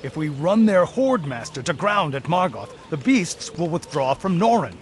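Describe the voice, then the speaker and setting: Game cutscene: high-pitched, lively noble warlord, proud, commanding, defiant. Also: male voice, moderate pace. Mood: scheming. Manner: stirring, commanding speech. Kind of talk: video game cutscene acting.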